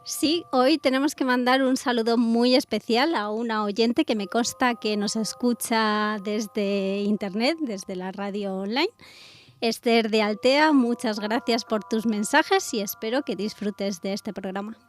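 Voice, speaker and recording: A woman's voice: on the high side, measured pace, soft background music. A woman with an accent from Spain, co-hosting a casual radio show. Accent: Spanish (Spain).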